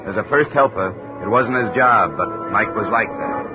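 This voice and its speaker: An actor narrating and playing a wry, tough insurance investigator in a 1950s radio drama. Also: male voice, medium pitch, huge pitch swings, normal speed, music in the background. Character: hard-boiled male insurance investigator. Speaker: narrator and lead. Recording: dull 1950 radio broadcast audio.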